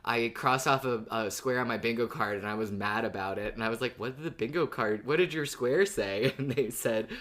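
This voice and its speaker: A woman with a strikingly deep voice co-hosts a fan podcast. Her delivery is casual and conversational, with an enthusiastic manner. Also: fairly quick, hardly any pauses, fairly bright tone. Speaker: podcast co-host.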